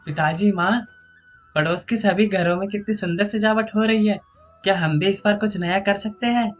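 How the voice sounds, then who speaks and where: Man voicing an eager, high-pitched village boy. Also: moderate pace, soft background music. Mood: excited. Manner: excited, earnest.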